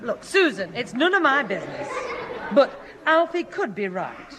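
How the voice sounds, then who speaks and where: Exasperated mother, lively high voice. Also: moderate pace, crowd noise. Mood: admonishing. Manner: admonishing, sensible. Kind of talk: 1960s British radio sitcom acting. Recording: noisy old radio recording, audience behind.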